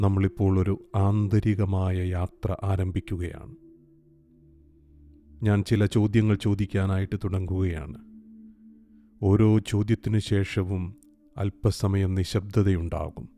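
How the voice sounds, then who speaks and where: A man guiding a meditation in a calm, gentle, soothing way, leaving long pauses. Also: low voice. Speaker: meditation guide. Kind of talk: guided meditation, slow soothing instruction. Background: soft meditation music with singing bowl.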